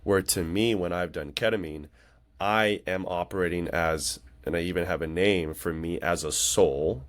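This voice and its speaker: A man's voice: deep voice, moderate pace. A man in his late thirties chatting casually on a podcast in an earnest, reflective, rambling way. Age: late 30s. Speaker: podcast conversation partner.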